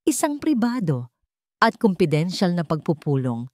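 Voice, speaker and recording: A woman voicing a cold, formal male lawyer on a phone call in an audio story, speaking in a measured way. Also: on the low side, very animated, dry recording, clean studio sound.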